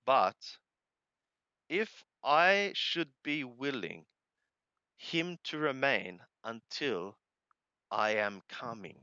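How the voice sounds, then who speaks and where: A man reads scripture aloud and translates it in short, literal phrases. His delivery is extremely slow and emphatic, with very lively swings in pitch. The voice is clean and resonant, with halting, deliberate phrasing. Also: clear, resonant tone, dry recording, muffled recording. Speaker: Bible reader and translator.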